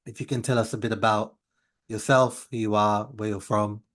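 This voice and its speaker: Male podcast host, conversational, somewhat low voice. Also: level tone, normal speed, a bit bright. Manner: conversational, uses "you know". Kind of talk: scripted intro, then casual interview.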